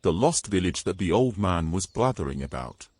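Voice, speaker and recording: A man's voice: deep voice, sing-song, normal speed, darkish tone, husky, dry recording, studio quality, exaggerated voice. A man playing a grumbling, exasperated adventurer in a fantasy audio drama, haughty and formal in his phrasing. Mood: amazed, grumbling. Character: grumbling, exasperated young adventurer carrying a mermaid.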